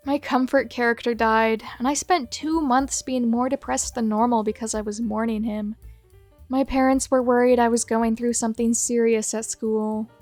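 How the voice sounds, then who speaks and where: Higher-voiced woman reading fan confessions. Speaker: host reading viewer submissions.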